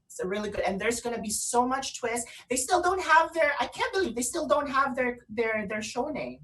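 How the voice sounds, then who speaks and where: Woman, Filipino accent, very bright high voice, excitable radio co-host, casual promo chat, distant from the mic. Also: normal speed. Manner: excitable, gushing. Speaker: online radio show host.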